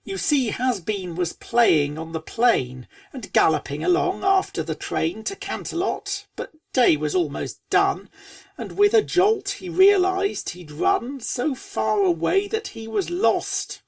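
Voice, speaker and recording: A woman's voice: medium pitch, vocal fry, a little mellow, no room sound, recorded from a distance, heavily acted. A woman playing a pony storyteller who tells a spooky storybook tale in verse to young foals, with dramatic, schoolteacherly delivery. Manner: dramatic, schoolteacherly, chiding asides. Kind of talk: theatrical storybook narration in verse.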